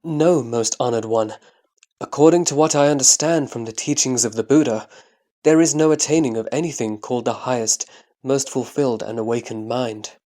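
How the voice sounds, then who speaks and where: A man playing a reverent disciple who answers his master calmly and formally in a Buddhist scripture audio drama. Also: mid-range voice, moderate pace, lightly acted. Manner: respectful, calm, careful formal answers.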